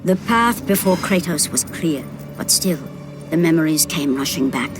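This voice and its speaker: An actress playing a grave, all-knowing narrator of a Greek myth in a video game, solemn and theatrical. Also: female voice, low voice, animated, normal speed. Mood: ominous, intense. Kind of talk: dramatic scripted narration of a mythic game story. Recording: loud music behind the voice.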